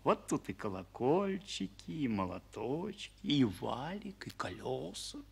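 A man playing a kindly father who teases and coaxes his small boy in an old radio play, with a husky voice. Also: medium pitch, very animated, a little slow, darkish tone, full character voice. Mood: playful, coaxing. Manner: playful, coaxing. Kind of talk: 1940s radio play acting.

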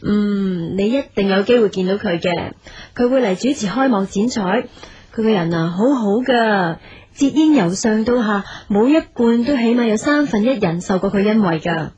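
A woman's voice: medium pitch, animated, normal speed, a little mellow, breathy edge. A woman playing a chatty, obliging long-serving office employee in a radio drama, with a Hong Kong Cantonese accent. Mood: helpful, admiring. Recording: slightly dull radio recording.

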